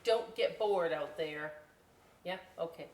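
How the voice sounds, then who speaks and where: A woman's voice: lowish voice, slow, a little mellow, echoey room. A woman hosting a story time, reading aloud in a casual, playful way with chatty asides for children. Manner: playful, informal, often checks in with "right?". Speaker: library story time host. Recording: distant mic, faint background noise.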